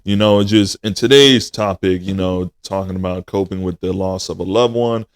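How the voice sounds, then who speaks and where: Twenties male podcast host, deep voice, unhurried and thoughtful, dry close mic. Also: dry recording. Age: 20s. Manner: slow, reflective, addresses listeners as y'all. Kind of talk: casual podcast chat.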